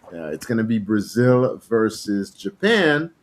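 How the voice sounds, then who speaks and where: A man whose first language is French, hosting a casual trading livestream, relaxed and laughing often while greeting viewers. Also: on the low side, unhurried. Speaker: livestream host.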